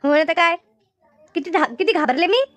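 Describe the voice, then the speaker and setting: A woman voicing a jumpy, eager farm labourer in a village cartoon, excitable and repetitive, with a rural Maharashtrian Marathi accent. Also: very high voice, moderate pace, dry recording. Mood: startled, complaining. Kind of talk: cartoon comedy dialogue.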